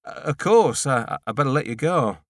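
A man's voice: medium pitch, lively intonation, brisk, dry recording, studio quality, close to an everyday voice. A man voicing an awkward, bumbling male neighbour in an audiobook, hesitant and stammering as he makes small talk.

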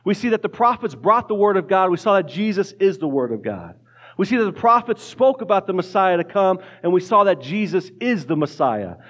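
A man's voice: sits high, very animated, fairly quick, fairly bright tone. A man preaching a casual sermon in a church, joking lightly and often restarting his words. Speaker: pastor. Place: church sanctuary.

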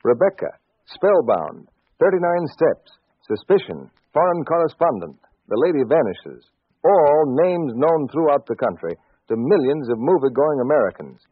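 A man's voice: fairly high pitch, sing-song, moderate pace, slightly rough, no room sound. A man giving a formal, salesmanlike promotional announcement in 1940s radio style. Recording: tinny, dry 1940s radio recording.

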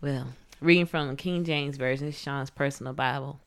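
Low-voiced woman co-hosting a faith podcast. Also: fairly even intonation, moderate pace, clean tone. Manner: playful, laughs often, reads scripture aloud. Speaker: podcast co-host. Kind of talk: casual faith podcast chat and scripture reading.

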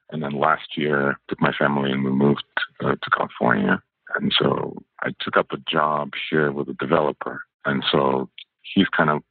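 Male architect, Russian native speaker, very deep and husky, halting delivery, dry phone-quality line. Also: no room sound. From Canada. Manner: halting, many fillers and restarts. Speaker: architect guest. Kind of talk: storytelling interview answer. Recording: phone-like narrow audio.

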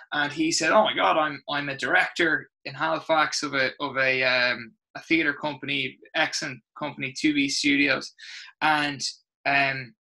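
A middle-aged man with an Irish accent, a rugby coach telling stories in an interview, expressive and full of restarts. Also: mid-range voice, poor audio. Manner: animated, expressive storyteller with many restarts. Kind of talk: interview storytelling.

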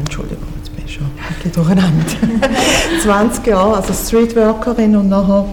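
Middle-aged woman in an echoey hall. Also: mid-range voice. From Barcelona, Spain. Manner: lively, rambling, self-correcting with laughs. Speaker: guest, victim support counselor. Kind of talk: casual panel introduction. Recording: echoey hall with faint noise.

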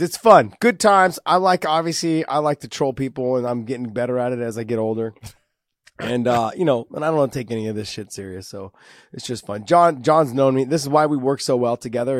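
A man's voice: mid-range voice, a little fast, dry recording, studio quality. A man in his forties, a podcast co-host and former fighter, talking casually and rambling, joking and laughing often. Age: 40s.

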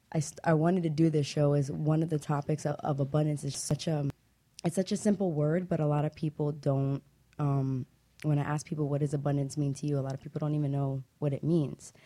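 A woman's voice: deep voice, dark tone. A woman hosting a casual panel discussion, talking in a conversational, reflective way. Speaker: talk show host.

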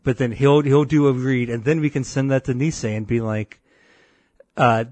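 A deep-voiced man co-hosting a podcast, telling a rambling story full of restarts and quoted voices. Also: fairly even intonation, normal speed, a little mellow, no room sound. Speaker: podcast co-host. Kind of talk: casual podcast banter.

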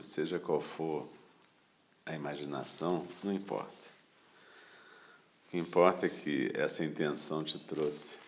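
A man with a Brazilian Portuguese accent leading a guided meditation as a teacher, calm and unhurried, speaking in short phrases with pauses. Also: extremely low pitch.

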